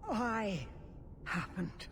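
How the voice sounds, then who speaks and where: Slow, grave elven Keeper. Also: female voice, medium pitch, animated, a bit bright. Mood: grave, resolute. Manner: grave, measured, resolute. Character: solemn, self-sacrificing older elf woman, clan Keeper. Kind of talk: video game dramatic acting. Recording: clear background noise, ambience.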